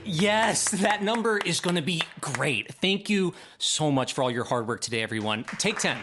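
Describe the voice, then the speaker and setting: Expressive, earnest young theater director played by an actor. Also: male voice, fairly high pitch, normal speed, music in the background, natural voice. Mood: upbeat, reflective. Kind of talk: audio drama acting, dialogue and reflective monologue.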